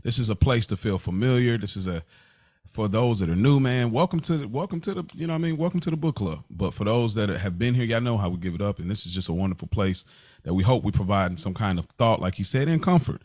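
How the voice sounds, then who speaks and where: Animated emphatic male podcast co-host. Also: fairly low pitch, fast talker, dry recording. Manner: animated, emphatic, talks in bursts. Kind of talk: casual podcast chat.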